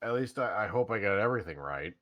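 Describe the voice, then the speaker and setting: A man's voice: on the low side, animated, fast, dull audio, close to an everyday voice. A man reading the part of an awkward teenage boy in a casual staged reading, stammering and repeating himself nervously. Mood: flustered. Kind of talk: casual script read-aloud.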